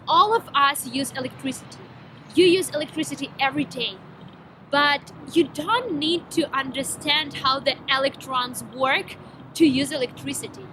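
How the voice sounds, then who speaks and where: A woman's voice: high voice, poor audio. A young woman with a Ukrainian accent and Ukrainian as her first language, talking eagerly and emphatically to camera in a vlog, with some hesitations. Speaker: vlogger. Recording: background music under voice.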